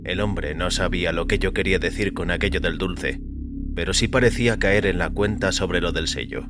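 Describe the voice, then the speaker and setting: A man narrating audio fiction in a solemn, deliberate, dramatic way. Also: sits low. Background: music.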